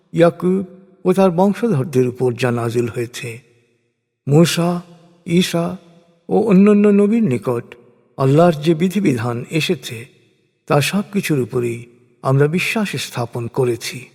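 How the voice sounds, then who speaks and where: A man reading a scripture translation as a solemn, formal scripted narration, with measured and deliberate phrasing. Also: on the high side, darkish tone. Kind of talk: solemn scripted religious recitation in prose. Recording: long hall-like reverb.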